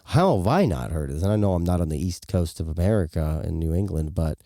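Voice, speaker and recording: A man's voice: deep voice, animated, fast, warm tone, no echo, studio quality. A man co-hosting a podcast, chatting casually and reacting to his partner's stories. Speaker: podcast co-host.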